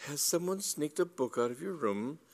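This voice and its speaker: Nervous young gentleman voiced by a male reader, high and excitable, stammering, bluffing slyly; comic storybook tone. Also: moderate pace. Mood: feigned innocence. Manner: flustered, stammering, vehement.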